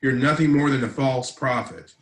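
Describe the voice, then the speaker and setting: A man's voice: mid-range voice, moderate pace, close to an everyday voice. A man playing a bitter, defiant man in a dramatic script read-through, recorded in an echoey room.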